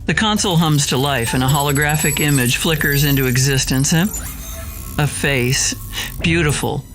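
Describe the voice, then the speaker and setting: A man narrating an audio drama in the first person as an awed, anxious young heir, in a measured dramatic way. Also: a little high, fairly bright tone, soft background music, close to an everyday voice, low-quality recording. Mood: overwhelmed.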